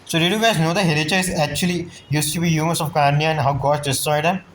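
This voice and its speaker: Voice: medium pitch, steady tone, quick pace, a little hiss or hum. A game streamer giving casual, informal reactions in short muttered interjections and repeated phrases. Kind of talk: casual gameplay commentary. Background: faint game music.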